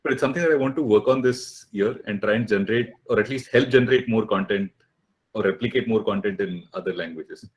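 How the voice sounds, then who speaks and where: A man's voice: fairly low pitch, fast. A man hosting a podcast, talking casually, frank and agreeable. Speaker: podcast host, professor. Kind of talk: casual conversation.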